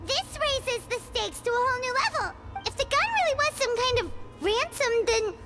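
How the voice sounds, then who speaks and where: A woman voicing a small, excitable, childlike fairy companion in a video game, chirpy and dramatic. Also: very high-pitched, normal speed, full character voice. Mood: alarmed, dramatic. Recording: music behind the voice.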